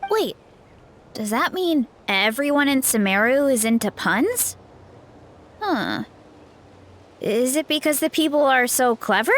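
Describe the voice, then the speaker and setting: Sweet naive adventurer girl, high-ish and unhurried, friendly. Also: sing-song, a little hiss or hum. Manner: friendly, hesitant, easygoing. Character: cheerful, naive young woman adventurer. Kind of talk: video game voice acting.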